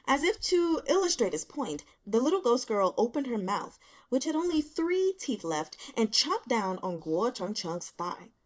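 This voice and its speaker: A woman reading fiction aloud as an audiobook narrator, in an expressive storytelling style. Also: fairly high pitch. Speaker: audiobook reader.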